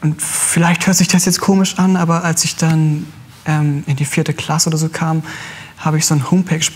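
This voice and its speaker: A young man recounting personal experience as a talk show guest, in a halting, hesitant way. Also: a little high, flat delivery, slightly rough, big-room sound. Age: young adult. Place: large TV studio. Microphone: lapel mic.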